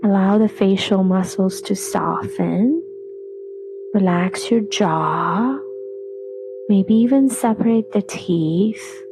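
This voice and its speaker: A woman leading a guided relaxation meditation in a soothing, unhurried way, with phrases trailing off breathily. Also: medium pitch, background noise. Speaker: yoga nidra meditation guide. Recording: voice over background music.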